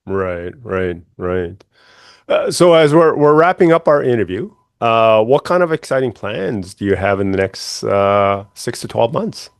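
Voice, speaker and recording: Low-voiced male interviewer, dry sound. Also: expressive, a little slow, vocal fry, clear, resonant tone, no echo. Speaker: interview host. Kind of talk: conversational interviewing.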